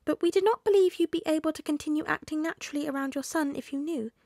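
A woman voicing a small, earnest male animal character in an audiobook story, speaking formally and in measured phrases. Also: sits high, a little mellow, no echo, studio quality, voice pushed up. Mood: apologetic, grave.

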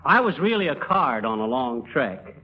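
An actor playing a folksy, boastful American teller of tall tales in a 1930s radio comedy, glib and bragging. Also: male voice, medium pitch, very animated, normal speed, natural voice. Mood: showing off. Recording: muffled 1930s radio broadcast.

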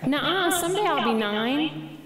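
A woman's voice: sits high, animated, normal speed, a crowd in the background, voice pushed up. A woman voicing a wounded, accusing little girl with a small plaintive voice in a memoir reading. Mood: defiant. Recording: echoey theatre sound with audience noise.

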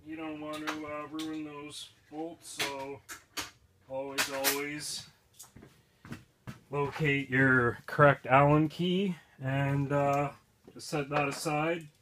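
A man's voice: on the high side, little pitch movement, background noise. A young man casually explaining a repair step by step in a garage, plain and practical. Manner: plain, unhurried, practical, with frequent fillers. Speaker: DIY video host. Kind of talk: casual how-to demonstration. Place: indoor garage or shed, filming himself while crouched working.

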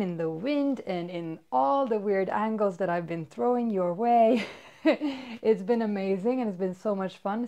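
A woman's voice: medium pitch, mellow. A woman in her thirties with a Swedish accent, a fitness vlogger chatting casually and upbeat to a camera in a bedroom. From Sweden. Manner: chatty and upbeat, many fillers. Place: bedroom with toys, indoors. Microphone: far camera.

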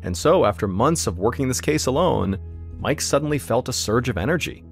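A man hosting a podcast, telling a true story in steady, suspenseful scripted narration. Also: mid-range voice, fairly quick, vocal fry, slightly rough, soft background music.

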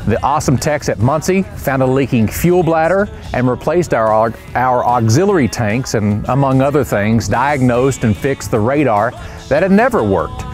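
A man's voice: medium pitch, lively intonation, normal speed, a little mellow, faint music behind. A man in his forties, a pilot host, narrating in an earnest, grateful tone from an aircraft hangar. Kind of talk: scripted narration.

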